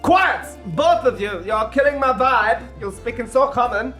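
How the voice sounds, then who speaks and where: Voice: medium pitch, expressive, measured pace, sharp tone, soft background music. A performer voicing a brash, scolding mother, nagging and gossipy. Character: northern English mum, brash and scolding.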